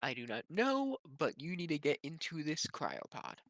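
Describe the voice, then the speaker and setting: A terse male soldier barking a blunt order in a fairly high, flat tone, as a man plays him in a tabletop game. The sound is dry, with no room around it. It is his everyday voice, barely acted. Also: normal speed, no room sound, full character voice. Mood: firm.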